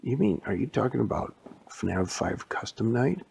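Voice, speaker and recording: A man's voice: mid-range voice, big pitch swings, moderate pace, vocal fry, a little mellow, slight background noise. A man voicing a puzzled male game sidekick with a questioning, exclamatory delivery.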